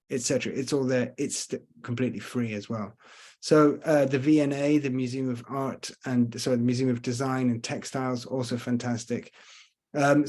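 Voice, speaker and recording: A man's voice: mid-range voice, a little mellow. Tour guide, male, London accent with slight Scottish traces, chatty, hesitant, video call mic. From Scotland and London. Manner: chatty, hesitant with many fillers. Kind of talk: casual online lecture with Q and A. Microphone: computer mic on video call.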